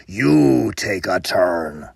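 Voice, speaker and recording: A man voicing a huge, surly, menacing brute in a folktale, with a slow, heavy, commanding manner. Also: deep voice, very animated, gravelly, breathy edge, no room sound, clean studio sound, pitched below the performer's own voice, exaggerated voice. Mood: weary, bossy. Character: huge surly menacing male body-snatcher, tired and bossy.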